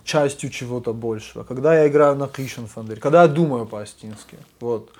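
A man's voice: medium pitch, mellow. A young adult man, a guest musician, explaining things earnestly and with animation in a casual conversation.

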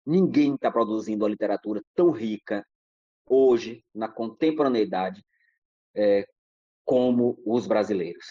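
A man's voice: lowish voice, unhurried, mellow, no room sound. A Brazilian man talks as a podcast guest, circling his points with restarts and fillers. The sound is dry and a little muffled. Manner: discursive, many restarts and fillers. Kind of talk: reflective casual talk.

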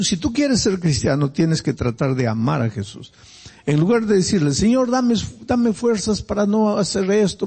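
An older man from Peru with a Peruvian Spanish accent, preaching a sermon and telling personal stories, animated and swinging between loud emphasis and quiet asides. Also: medium pitch, creaky, slightly rough, long reverb tail.

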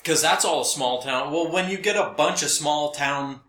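A man's voice: fairly high pitch, normal speed, a bit bright. An American man co-hosting a podcast, chatting casually with wry, sarcastic exaggeration. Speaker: podcast co-host. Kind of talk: casual humorous chat. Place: echoey room, recorded for a podcast and live stream. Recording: echoey, roomy sound.

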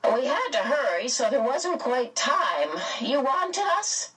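A woman voicing a calm, grave female guardian being in a storybook, measured, firm and regretful. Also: high-pitched, husky, distant mic, pitched above the performer's own voice, exaggerated voice. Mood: hurried, questioning.

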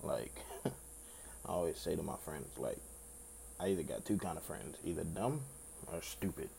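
Deep raspy laid-back man. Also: lively intonation, moderate pace, mellow, a little hiss or hum. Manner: laid-back, rambling, swears freely. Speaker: podcast host. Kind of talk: casual solo podcast chat.

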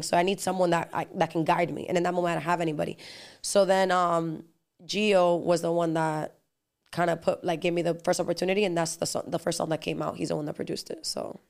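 A young woman guest talks at a quick clip in relaxed conversation. She fills her sentences with "like" and switches into Spanish phrases mid-thought. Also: on the low side, creaky. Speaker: guest, singer and actress. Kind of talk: casual interview chat.

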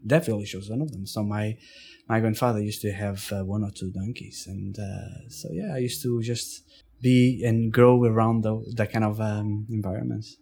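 A man from Portugal with a Portuguese accent, recounting his life in a casual interview, halting with frequent restarts and fillers. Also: lowish voice, steady tone, gravelly, darkish tone, a little hiss or hum. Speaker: interview guest. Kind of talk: casual storytelling.

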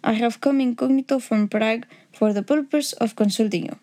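A woman's voice: fairly high pitch, a little fast, mellow, lightly acted. A woman voicing a proud, agitated male aristocrat in an audiobook story, speaking in a formal, pompous, grave way. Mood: formal, confiding. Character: huge proud Bohemian nobleman, secretly a king, agitated, formal.

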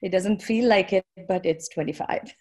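A woman's voice: mid-range voice, normal speed, a little mellow. A woman, a filmmaker guest talking freely with frequent fillers and small laughs. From India. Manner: chatty, with frequent fillers and light laughter between remarks. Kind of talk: conversational interview.

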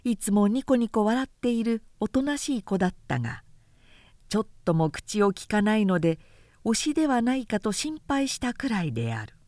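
A woman's voice: mid-range voice, sing-song, normal speed, darkish tone, dry recording. A woman narrator reading a literary story aloud as scripted narration.

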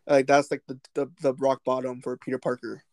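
A man co-hosting a podcast, talking excitedly about a film in a rambling way, with many restarts and fillers. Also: mid-range voice, a little fast, dry recording. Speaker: podcast co-host. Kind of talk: casual movie discussion.

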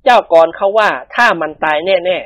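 A woman voicing a wily young man who bluffs his way out of trouble in a storybook reading, evasive and hurried. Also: medium pitch, pitched above the performer's own voice, lightly acted.

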